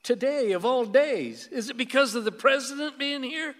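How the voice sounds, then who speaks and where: Folksy old grandpa in a reverberant hall. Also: male voice, very high-pitched, expressive, normal speed, a bit bright. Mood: tender wonder. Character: folksy, stubborn but tender old grandfather. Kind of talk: radio play acting.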